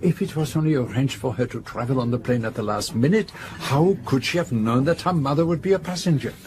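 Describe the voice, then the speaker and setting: An actor playing a courteous, theatrical older Belgian detective in a radio drama, with a French-speaking Belgian accent and formal, precise, deliberate speech. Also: male voice, mid-range voice, a little mellow, close to an everyday voice. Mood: troubled.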